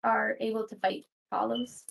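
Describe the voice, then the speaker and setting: Frau spielt eine sanfte, neugierige Kämpferin mit knarrender Stimme. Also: female voice, a little high, little pitch movement, moderate pace, vocal fry. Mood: gentle, curious. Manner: hesitant, considerate. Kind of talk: casual tabletop roleplay in character.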